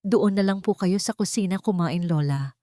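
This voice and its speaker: A woman narrator acts out a cold daughter-in-law in an audio story. She is falsely sweet and scheming, with curt politeness. The voice sits fairly low and the recording is dry. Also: normal speed, mellow, dry recording, natural voice. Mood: cold, dismissive. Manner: polite on the surface, curt and irritated.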